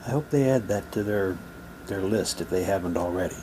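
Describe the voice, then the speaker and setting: A man casually reading printed material aloud in a halting way, with muttered asides to himself. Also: sits low, dark tone, slight background noise, low-quality recording. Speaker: unboxing presenter. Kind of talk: casual unboxing, reading old newspaper props aloud.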